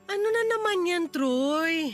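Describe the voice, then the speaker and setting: A woman playing a frustrated, sharp-tongued wife in a Tagalog radio drama, confrontational and emphatic. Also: very high-pitched, lively intonation, a little slow. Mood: suspicious, annoyed. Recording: music bed under the voice.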